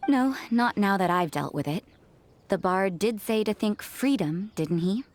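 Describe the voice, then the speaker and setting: A woman's voice: medium pitch, lively intonation, unhurried, a little mellow, natural voice. A woman playing a cool, aloof young church sister, curt and laconic, in an anime game.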